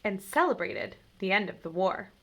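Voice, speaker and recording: A woman reading a children's story aloud in an expressive, deliberate way, like a teacher. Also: medium pitch, a little hiss or hum.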